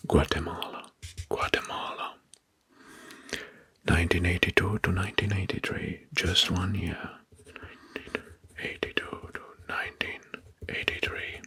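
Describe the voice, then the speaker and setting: Breathy whispering man, ASMR narration. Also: sits low, fairly even intonation. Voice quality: breathy, mostly unvoiced whisper. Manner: hushed, deliberate, repeats phrases slowly. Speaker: ASMR host.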